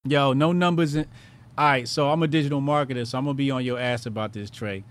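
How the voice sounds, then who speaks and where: A man hosting a call-in show, bantering loudly with callers, laughing and swearing in slang. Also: medium pitch, steady tone, fast.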